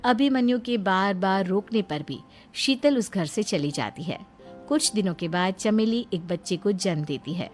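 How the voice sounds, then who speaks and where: A woman's voice: mid-range voice, lively intonation, measured pace, faint music behind. A woman reading scripted narration as the storyteller of a cartoon drama.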